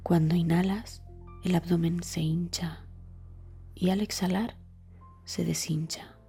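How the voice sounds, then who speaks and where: A woman reads a scripted guided meditation as the guide, calmly and deliberately, with a soft, breathy voice and trailing words. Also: fairly low pitch, faint music behind.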